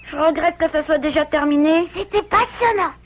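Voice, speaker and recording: A woman's voice: high-pitched, normal speed, close to an everyday voice. A woman voicing an eager, curious little boy bear cub in a French cartoon, childlike and earnest. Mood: thoughtful, earnest. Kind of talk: French animated series dubbing.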